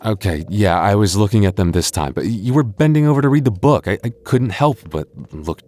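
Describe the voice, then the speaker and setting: A man's voice: lowish voice, animated, fairly quick, darkish tone. A man voicing a boyfriend in an ASMR roleplay, stammering through restarts and teasing playfully.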